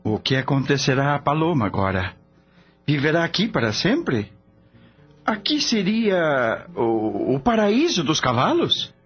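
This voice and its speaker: An actor playing a naive, curious young man new to the spirit world in a radio drama, husky, wondering and hesitant. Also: on the high side, huge pitch swings, measured pace, heavily acted. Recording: dull lo-fi audio with background music.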